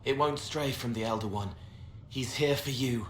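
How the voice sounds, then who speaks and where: A man playing an eerie, empathetic young man in a fantasy game, soft-spoken in short plain sentences. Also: medium pitch, normal speed, lightly acted. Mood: earnest. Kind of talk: dramatic fantasy game acting. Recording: echoey, with faint ambient noise.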